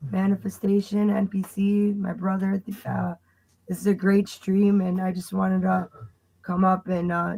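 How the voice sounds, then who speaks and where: A woman's voice: fairly low pitch, rough recording. A woman calling into a livestream who is losing her voice, polite, hesitant and eager. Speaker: caller joining a stream.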